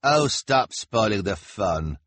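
A man voicing an excitable, bossy male spectator who talks shrilly. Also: takes it slowly, no echo, heavily acted. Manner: shrill, overexcited.

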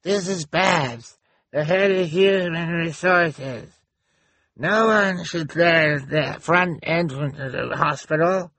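A man voicing a teenage girl posing as an officious hospital official, in slow, loud announcements for an audiobook. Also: on the high side, no echo, pitched above the performer's own voice, heavily acted. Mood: officious, urgent. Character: teenage girl impersonating hospital human resources head on intercom. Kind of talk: audiobook character acting.

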